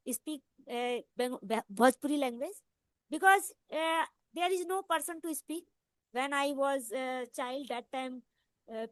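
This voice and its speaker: A woman with an Indian accent, a native Hindi speaker, hosting a casual English practice chat in halting learner English full of fillers and restarts. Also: high voice, no room sound.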